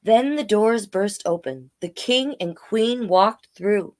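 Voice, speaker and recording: Female storytime host, mid-range voice, unhurried read-aloud with encouraging asides. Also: medium pitch, a little mellow. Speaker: children's storytime reader and host. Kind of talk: reading a picture book aloud with chatty asides.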